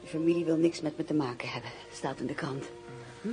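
Guarded, wry woman actress over clear music. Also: low voice, fast, natural voice. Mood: wry, bitter. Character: guarded, wry woman, maligned lover of a prisoner. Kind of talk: radio drama acting.

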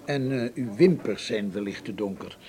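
An actor playing a formal, coldly suspicious Nazi film official in a Dutch radio drama, stiff and precise in manner. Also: male voice, lowish voice, normal speed, dark tone, close to an everyday voice.

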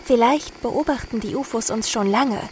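Woman playing a dreamy high-voiced radio operator, noisy background. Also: moderate pace, darkish tone, close to an everyday voice. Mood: pensive wonder. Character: dreamy, curious female soldier and UFO-watching radio operator. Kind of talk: scripted radio drama monologue. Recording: clear background noise.

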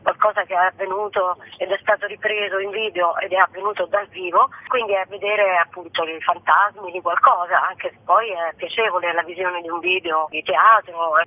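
A man's voice: high voice, fairly even intonation, moderate pace, hardly any pauses, no room sound. A man explaining things as a radio interview guest, hesitating in places. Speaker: guest, theatre company artist. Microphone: telephone. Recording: dry, narrow phone line.

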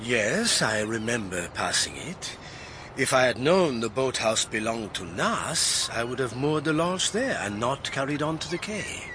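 A man's voice: mid-range voice, sing-song, a little slow, noisy background. An actor playing a suave, affronted wealthy foreign yachtsman, florid and polished in a radio drama. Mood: cooperative. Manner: florid, theatrical, polished formal phrasing.

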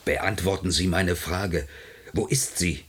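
A man's voice: low-pitched, takes it slowly, a bit bright. An actor in a German science-fiction radio play, playing an anxious, protective man in love. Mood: agitated, demanding.

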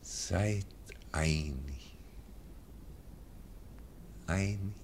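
A man's voice: sits low, a little mellow, a little hiss or hum, heavily acted. A dying old nobleman, whispering. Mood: solemn. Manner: slow, fading, drops into whispers. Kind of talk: classic radio drama verse.

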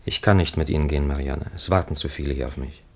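Deep-voiced, weary priest. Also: male voice, fast talker, creaky, exaggerated voice. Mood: weary, firm. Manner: grave, restrained, questioning. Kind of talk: 1950s German radio play acting.

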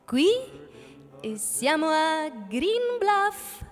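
A prim small-town housewife in a radio drama, politely baffled. Her voice is extremely high and unhurried, echoing in a hall with music behind. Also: sing-song, exaggerated voice. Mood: puzzled, polite. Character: sweet-faced, puzzled small-town housewife of about forty.

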